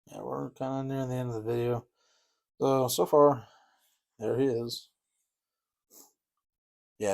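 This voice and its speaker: A man's voice: medium pitch, creaky. A man chatting casually and rambling a bit as he paints miniatures, easygoing and self-deprecating. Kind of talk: casual chat while painting.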